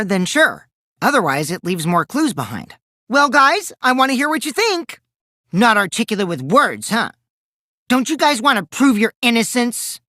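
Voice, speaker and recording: A man's voice: high voice, pushed and bright, no room sound, studio quality, heavily acted. An actor playing a mischievous, taunting teenage boy in a video game, theatrical and teasing, with a youthful boyish voice that can turn from cutesy to cold. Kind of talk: animated game dialogue in a murder-mystery trial.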